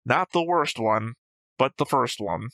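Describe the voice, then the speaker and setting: A man's voice: mid-range voice, animated, measured pace, vocal fry, fairly bright tone, no echo. A man co-hosting a reaction show, chatting casually in a blunt, argumentative, sweary way. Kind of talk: casual discussion of an anime episode.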